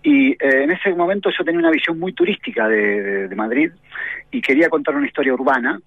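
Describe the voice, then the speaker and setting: A man's voice: a little high, expressive, quick pace, no room sound. A man in his forties with an Argentine accent, a novelist being interviewed on the radio, who talks thoughtfully with lots of hesitations and tag questions. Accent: Argentine Spanish. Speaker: novelist guest. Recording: tinny, phone-like, dry radio sound.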